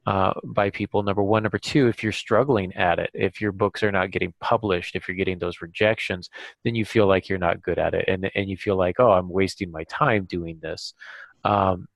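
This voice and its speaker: A man hosting a podcast, talking reflectively in a halting, thoughtful way. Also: deep voice, fairly even intonation, creaky, no echo, low-quality recording. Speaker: podcast host and author.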